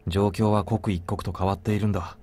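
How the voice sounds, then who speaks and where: A man's voice: fairly low pitch, little pitch movement, very fast, darkish tone, natural voice. A man voicing a blunt, protective young man in a visual novel, terse and matter-of-fact. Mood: firm, serious. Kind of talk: Japanese visual novel voice acting.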